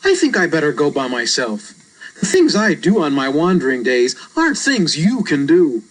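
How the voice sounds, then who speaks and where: A woman's voice: sits low, big pitch swings, moderate pace, voice pushed down, poor audio. A woman voicing an easygoing, aloof young boy in a children's storybook, offhand and dismissive in manner.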